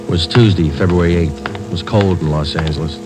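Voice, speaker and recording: Actor playing a clipped police detective, deep low voice, old radio drama, music underneath. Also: male voice, brisk, lightly acted. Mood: flat. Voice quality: clipped, flat delivery. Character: terse male police sergeant, steady and matter-of-fact. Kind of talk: 1950s radio drama acting.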